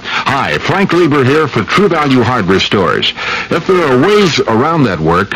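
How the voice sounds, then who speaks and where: A man with an American accent reading a scripted radio advertisement as a pitchman. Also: very animated, unhurried, a bit bright. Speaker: commercial pitchman. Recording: slightly noisy old radio broadcast.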